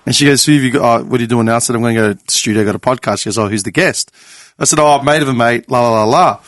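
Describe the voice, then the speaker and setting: A man's voice: medium pitch, rapid-fire, a bit bright, no echo. A man in his late thirties hosting a podcast in casual conversation, blunt and teasing, swearing freely. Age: 30s. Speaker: podcast host. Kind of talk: casual chat. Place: podcast studio.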